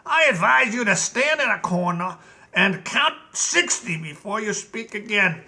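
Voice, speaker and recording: A man's voice: high voice, expressive, measured pace, sharp tone, pitched above the performer's own voice, exaggerated voice. A man voicing a blunt, insolent male gnome general with dry, dismissive retorts.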